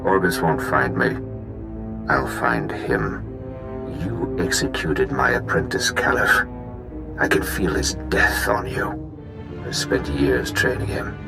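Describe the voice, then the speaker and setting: An actor playing a bitter, vengeful villain in a video game, speaking in measured, grandiose threats. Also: male voice, rumbling low, expressive, exaggerated voice. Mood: menacing. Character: menacing vengeful villain, bitter fallen master. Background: clear background music.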